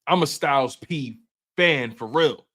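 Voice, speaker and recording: A man in his twenties hosting a sports show live stream, chatting casually with viewers in a relaxed, slangy way, often repeating himself and restarting sentences. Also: on the high side, a little slow. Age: 20s.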